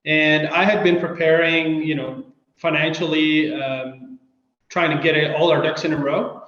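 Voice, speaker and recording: A man from Belgium answering interview questions in a measured, hesitant way. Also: fairly high pitch, fairly even intonation, fairly bright tone. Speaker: guest. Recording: echoey dull-sounding room.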